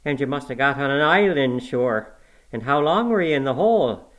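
A man voicing an excitable, kindly country housekeeper woman in an audiobook, with exclamatory rustic phrasing. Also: medium pitch, normal speed, lo-fi sound, pitched above the performer's own voice, lightly acted. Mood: excited, gossipy. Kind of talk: audiobook character acting.

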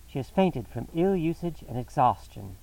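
A man reading an audiobook voices a cool, incisive detective in a crisp, measured manner. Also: mid-range voice, very animated, warm tone, recorded from a distance, voice pushed up, rough recording.

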